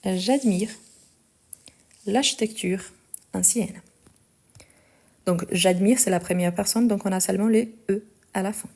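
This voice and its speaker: A woman teaching a live online French lesson, speaking slowly and carefully, repeating each sentence and spelling out the verb endings. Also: medium pitch, a little mellow.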